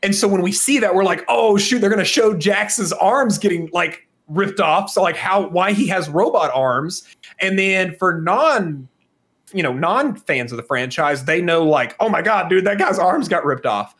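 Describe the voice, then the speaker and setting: Higher-pitched man chatting casually on a podcast. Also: animated, normal speed, fairly bright tone. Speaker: podcast co-host. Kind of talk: casual discussion of films and games.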